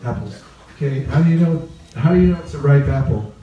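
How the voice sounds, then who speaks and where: A man's voice: fairly high pitch, a little fast, dull audio. A man from California leading an interactive seminar, playfully questioning the group and repeating key phrases. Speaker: religious teacher leading a training talk. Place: reverberant room with a live group. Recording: echoey room, picked up from a distance.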